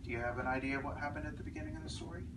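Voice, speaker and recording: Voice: medium pitch, very fast. A teacher talking with a class of first graders, reading aloud and asking questions, patiently repeating and affirming their answers. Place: classroom with young children. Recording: distant, echoey, very noisy room.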